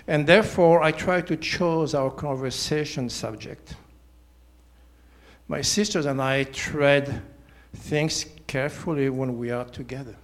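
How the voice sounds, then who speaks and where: A man, giving a prepared personal testimony in a measured, deliberate way. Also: fairly high pitch, gravelly. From Switzerland. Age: 70s. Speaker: church member giving testimony.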